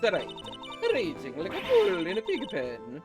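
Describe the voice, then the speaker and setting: A woman's voice: medium pitch, huge pitch swings, normal speed, gravelly, loud music behind the voice, pitched above the performer's own voice. A woman voicing a chatty elderly nun with a bad back, folksy and full of dramatic complaint, in a video game read-aloud. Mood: complaining.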